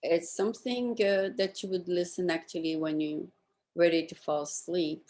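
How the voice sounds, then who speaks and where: Very low-pitched woman interviewing casually. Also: fairly even intonation, moderate pace, darkish tone.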